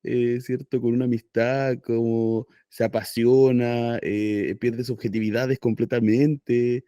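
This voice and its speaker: A man's voice: medium pitch, little pitch movement, slow pace, mellow, no echo, dull audio. A middle-aged man hosting a casual radio interview, hesitant and checking in with "¿cierto?". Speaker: radio host.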